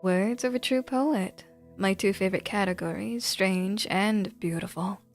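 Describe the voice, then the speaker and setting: A woman voicing a wistful, poetic video game character in a soft, measured, theatrical way. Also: medium pitch, soft background music, lightly acted.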